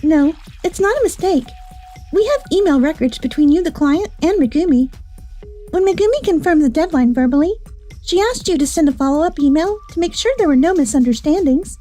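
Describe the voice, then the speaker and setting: A woman voices a firm, composed office investigator for a manga dub. Her voice is high and soft-toned, her delivery formal and matter-of-fact. Music plays behind her. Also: animated, fairly quick. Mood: firm, confronting. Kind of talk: anime-style manga dub dialogue. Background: background music.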